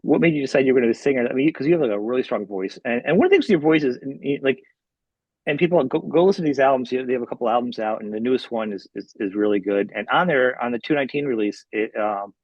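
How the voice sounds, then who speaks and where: A man's voice: mid-range voice, very fast, no room sound, lo-fi sound. A man hosting a podcast, asking chatty, eager questions. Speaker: podcast host. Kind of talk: casual interview questions.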